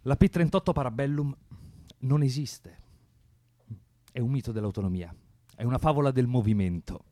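Radio drama role: male actor as hard-line 1970s revolutionary man, mid-pitched, commanding, provocative, recorded dry. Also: normal speed, dark tone, no room sound, lightly acted. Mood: instructing. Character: cold, doctrinaire 1970s Italian communist militant man.

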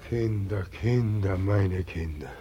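A man's voice: low-pitched, slow, dark tone, slightly rough, heavily acted. An actor playing God as a helpless old man who weeps and laments plaintively, in a dull 1940s radio drama recording. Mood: grieving, helpless. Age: older. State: crying.

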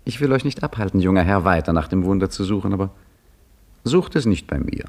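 An older actor playing an old caretaker and storyteller, dry and ironic, in a 1950s radio drama. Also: male voice, low-pitched, lively intonation, brisk, a little mellow. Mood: weary wise. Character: old male caretaker, wry wise storyteller. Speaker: caretaker telling a tale.

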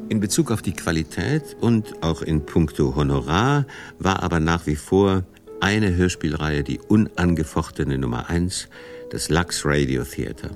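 A man's voice: low voice, animated, unhurried. A man reading scripted documentary narration as the narrator. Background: faint music.

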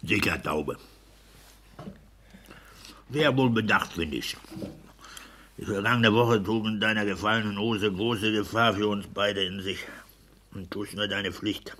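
An actor playing a pompous, domineering clerk and husband in a 1960s German radio play, scolding and self-satisfied. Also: male voice, fairly low pitch, a little slow, long pauses, a bit bright, a little hiss or hum. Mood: self-satisfied, solemn.

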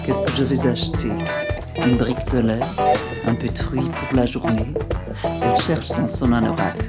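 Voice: medium pitch, normal speed, close to an everyday voice. A narrator reading scripted literary text in French for a radio art piece. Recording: loud music with guitar and drum behind. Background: loud guitar and drum music.

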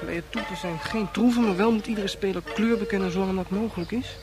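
An actor playing an earnest youngster who explains rules in an instructive, matter-of-fact way in a radio play. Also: male voice, high voice, quick pace, over music, close to an everyday voice.

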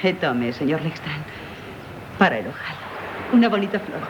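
An actress playing a gentle, proper young elder sister in 1970s Castilian Spanish radio theatre, earnest and polite. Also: female voice, low-pitched, expressive, normal speed. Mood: polite, kind. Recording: muffled, noisy 1970s radio broadcast.

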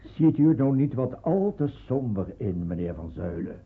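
A narrow, phone-like old radio drama recording of a shopkeeper and father. His mid-pitched voice is husky. He sounds polite and hesitant, a worrier who is unsure of himself. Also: big pitch swings, normal speed, heavily acted. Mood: sceptical.

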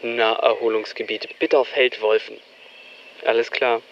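A male actor plays a disillusioned young man in a radio drama, talking straight to his listener. He is wry and deadpan, with a sardonic bite. The sound is a little muffled, like a homemade tape, with faint noise behind him. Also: mid-range voice, unhurried, close to an everyday voice. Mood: sardonic, weary. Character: disillusioned, sardonic young East German man, aspiring art student. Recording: noisy amateur tape-style recording, limited band.

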